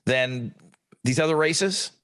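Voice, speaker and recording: A man's voice: a little high, slow pace, fairly bright tone, clear, resonant tone. A man, a radio host co-hosting a podcast, chatting casually and telling self-mocking brag stories. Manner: easygoing, self-deprecating, boastful storyteller. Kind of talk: casual chat.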